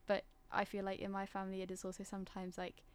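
Young British-accented woman, very even intonation. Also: mid-range voice, fast, clean tone. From Singapore. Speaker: discussion participant. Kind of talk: reflective casual conversation.

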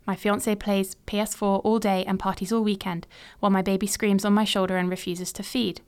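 Clear-voiced woman reading her own story. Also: mid-range voice, flat delivery, a little mellow. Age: 20s. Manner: measured, reflective storytelling in present tense. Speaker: podcast host. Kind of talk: reading a personal story aloud.

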